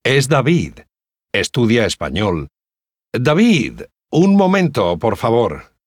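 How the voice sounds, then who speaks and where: An actor playing a courteous adult male teacher in a language-course dialogue, speaking slowly, clearly and politely. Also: mid-range voice, sing-song, no echo, clean studio sound. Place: dry studio.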